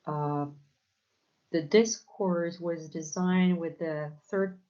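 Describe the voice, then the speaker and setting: A low-pitched woman with a Polish accent lectures unhurriedly, with frequent pauses and repeated words. The sound is muffled and lo-fi. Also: steady tone, dark tone. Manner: hesitant, many fillers and repeated words. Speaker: academic lecturer. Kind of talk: academic conference talk.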